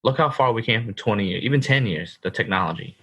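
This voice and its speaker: Dude in his 30s on a podcast, kinda low voice, says bro a lot, very sure of himself. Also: animated, fast talker, a bit bright. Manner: emphatic, calls the other host bro. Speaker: podcast co-host. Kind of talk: casual chat.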